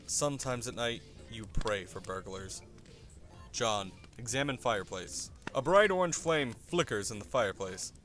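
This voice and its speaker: A man's voice: mid-range voice, expressive, moderate pace, fairly bright tone, faint music behind. A man reading scripted comic narration in a wry, theatrical manner.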